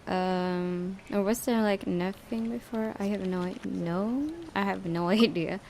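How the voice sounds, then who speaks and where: Woman reading a game aloud, expressive. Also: medium pitch, measured pace. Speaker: let's-play host. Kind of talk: reading a visual novel aloud with casual reactions.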